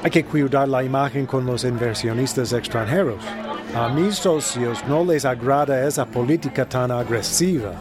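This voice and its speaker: A man's voice: medium pitch, normal speed, over music, a crowd in the background, lightly acted. An actor in a radio drama playing an arrogant, greedy foreign businessman who scorns poor workers. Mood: calculating.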